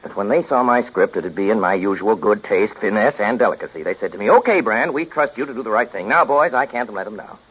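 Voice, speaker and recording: A man's voice: on the low side, expressive, quick pace, few pauses, husky. An actor playing a self-important, bombastic Hollywood studio boss in a 1930s radio comedy, domineering like a salesman. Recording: dull vintage 1930s radio recording.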